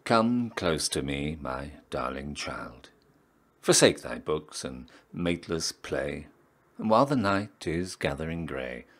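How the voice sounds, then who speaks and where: A man with a low-pitched voice gives a slow, dramatic poetry recitation. His phrasing is solemn and theatrical, and the ends of his lines often sink into a hush. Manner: measured, solemn, theatrical phrasing with hushed line endings. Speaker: poetry reader, town crier.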